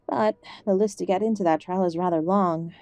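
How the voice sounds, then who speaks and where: A woman acting an ailing older mother in an audio drama, gentle and affectionate, old-fashioned and stubbornly optimistic, fond of endearments. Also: lowish voice, steady tone, a little fast, dry recording, natural voice. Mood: measured, serious. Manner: gentle, fussing, uses endearments.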